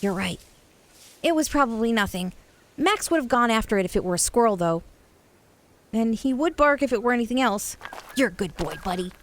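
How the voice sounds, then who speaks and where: A woman's voice: fairly high pitch, animated, a little fast, pauses often, natural voice. A woman playing a friendly, curious, reasonable young boy in a children's audio adventure. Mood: friendly, reassuring. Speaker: voice actor in kids' audio drama. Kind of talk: scripted children's audio fiction acting.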